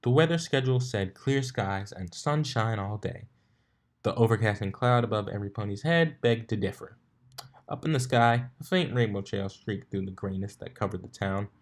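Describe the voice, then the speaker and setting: Male amateur story reader, lowish register, expressive narration with stumbles and retakes. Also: moderate pace. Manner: stumbles over lines and rereads them. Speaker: fan fiction reader.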